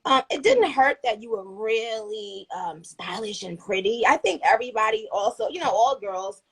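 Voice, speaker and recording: A woman in her thirties from Trinidad chats on a phone livestream, earnest and rambling, her thoughts trailing into restarts. Her voice sits fairly high but has a rough, husky grain with plenty of fry. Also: animated, moderate pace, rough recording. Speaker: livestream host. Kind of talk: casual chat on a livestream.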